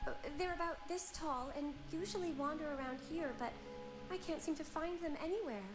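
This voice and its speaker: A woman playing a gentle, polite and earnest young church acolyte in a video game, slightly flustered in manner. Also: high-pitched, brisk, lightly acted. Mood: earnest, concerned. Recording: loud music behind the voice.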